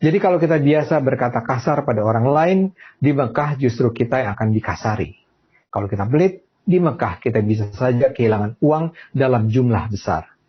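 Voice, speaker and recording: A man delivering scripted commentary in a measured, explanatory way, from a lo-fi clip. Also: medium pitch, expressive, breathy edge. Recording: dull lo-fi clip.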